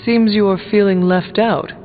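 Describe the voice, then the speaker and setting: A diplomatic young warrior hero, played by a man, speaks in a measured, frank and lightly teasing way. The voice sits fairly high for a man. It comes through as thin game audio with music underneath. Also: steady tone, narrow, tinny audio, close to an everyday voice. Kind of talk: video game character dialogue.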